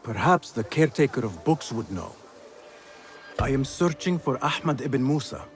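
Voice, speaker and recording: Young game assassin, earnest, formal wording, expressive mid-pitched voice, music behind. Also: male voice, moderate pace. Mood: polite inquiry. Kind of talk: video game cutscene acting.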